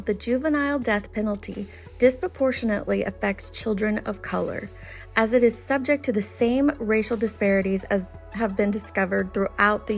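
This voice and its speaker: Gravelly woman narrating commentary. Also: mid-range voice, a little mellow. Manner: reads from notes, critical and earnest. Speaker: commentary channel host. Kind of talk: scripted commentary voice-over.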